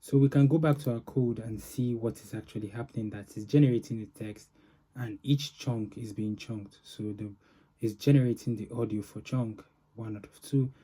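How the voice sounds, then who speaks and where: A man giving an informal software demo as a developer, narrating in halting phrases with pauses. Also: medium pitch, steady tone, slightly rough. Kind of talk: informal product demo walkthrough.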